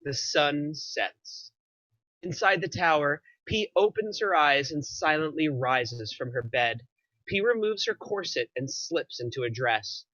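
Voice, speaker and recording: Male narrator for a screenplay table read, medium pitch, bright edge, slightly dull recording. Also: animated, unhurried, dry recording. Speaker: narrator reading stage directions.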